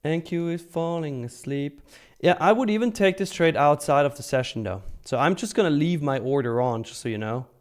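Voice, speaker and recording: A man's voice: fairly high pitch, animated, normal speed, hardly any pauses, a little mellow. A man hosting a live trading stream, chatting casually and informally as he comments and answers viewers, joking and swearing freely. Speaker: live stream host, futures trader.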